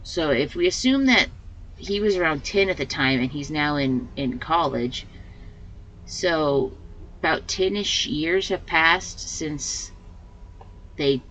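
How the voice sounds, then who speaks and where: A woman with a slightly hoarse voice hosting a let's play, giving casual commentary and thinking aloud as she plays. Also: low-pitched, lively intonation, measured pace, a bit bright, lo-fi sound, slight background noise. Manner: rambling, thinking aloud with fillers.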